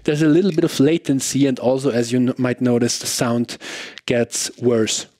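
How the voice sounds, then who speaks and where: A man's voice: mid-range voice, normal speed, warm tone, faint music behind. A man casually explaining and demonstrating gear as a reviewer, talking conversationally and checking in with the listener. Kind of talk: casual product demo talk to camera.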